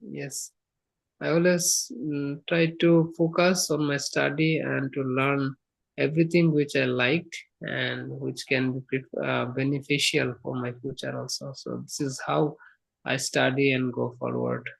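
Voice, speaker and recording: Polite gravelly young man student. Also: medium pitch, little pitch movement, a little slow, rough recording. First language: not English. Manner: polite, deferential, says ma'am. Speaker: language student. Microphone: video call.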